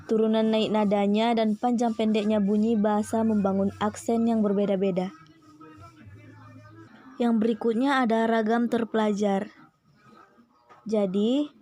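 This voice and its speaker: A woman's voice: medium pitch, warm tone. A young woman presenting a school assignment, steadily reading through a list of lesson points. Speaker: student presenter.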